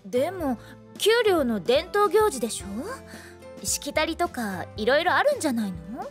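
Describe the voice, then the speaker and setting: A woman playing an earnest, kind-hearted young woman, gently fussing and expressive, in a radio drama. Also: high-pitched, unhurried. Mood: curious. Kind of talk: anime-style radio drama acting. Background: music.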